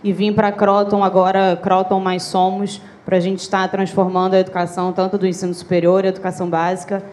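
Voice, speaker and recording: Female panelist, Carioca accent, flat intonation, friendly. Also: medium pitch, brisk. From Rio de Janeiro, Brazil. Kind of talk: conference panel introduction.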